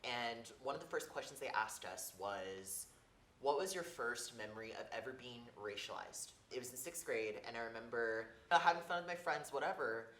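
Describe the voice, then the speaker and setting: A young college student from California telling a personal memory in a reflective way during a casual panel discussion. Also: male voice, mid-range voice, lively intonation, normal speed, fairly bright tone, a little hiss or hum. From Torrance, California. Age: young adult. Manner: reflective storytelling. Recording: roomy recording.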